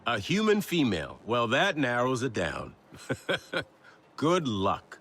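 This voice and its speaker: An actor playing an easygoing tech tinkerer in a video game, with a wry, teasing manner. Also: male voice, mid-range voice, huge pitch swings, takes it slowly, a bit bright, husky, full character voice. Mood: amused. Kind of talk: video game dialogue acting.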